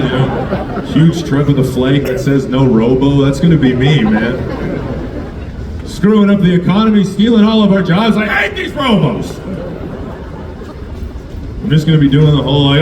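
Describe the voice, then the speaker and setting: Deep-voiced man doing stand-up. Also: distant mic, heavy background noise. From Canada, Alberta. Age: 30s. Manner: self-mocking, riffing with the crowd. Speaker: stand-up comedian. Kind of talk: stand-up set. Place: comedy club stage. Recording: roomy live club audio from afar.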